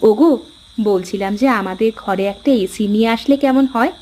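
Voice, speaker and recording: A woman's voice: fairly high pitch, a little fast, dark tone, slight background noise, natural voice. A woman voicing a caring village wife in a cartoon, coaxing and homely in her way of talking. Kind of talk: cartoon dialogue acting.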